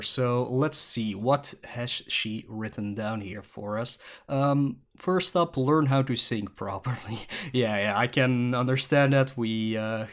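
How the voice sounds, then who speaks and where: A Flemish man, a native Dutch speaker from Belgium, hosting a podcast by himself at a creaky desk in a rambling, jokey, self-mocking way. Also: lowish voice. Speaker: podcast host. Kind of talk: casual solo podcast talk.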